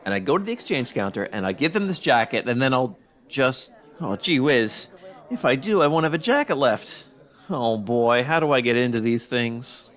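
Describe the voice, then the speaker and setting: Male actor for hapless, earnest teen boy in vintage radio comedy; hesitant, eager, highly animated delivery. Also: moderate pace, lightly acted. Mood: dismayed. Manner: exclamatory, hesitant, gee-whiz eagerness. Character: naive, flustered teenage boy, earnest and hapless. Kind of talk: 1940s radio sitcom acting.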